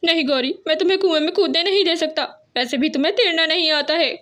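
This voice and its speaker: A plaintive crow husband from a cartoon fable, voiced by a woman, high in pitch. He is anxious and devoted, and he pleads as he speaks. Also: fast, fairly bright tone. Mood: anguished.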